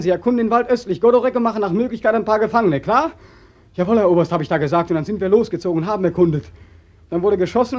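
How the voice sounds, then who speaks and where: An actor playing a tormented, guilt-haunted young soldier back from war, with a husky voice and a fervent, insistent way of speaking in a German radio play. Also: male voice, high voice, a little mellow, lo-fi sound, close to an everyday voice. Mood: bitter, urgent. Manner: fervent, insistent, repetitive monologue.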